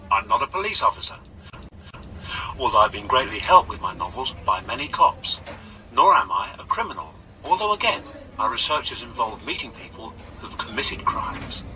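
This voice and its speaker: A man's voice: mid-range voice, sharp tone, slight background noise, poor audio. A man playing a mysterious crime novelist, giving sly, arch, rhetorical interview answers in a theatrical manner.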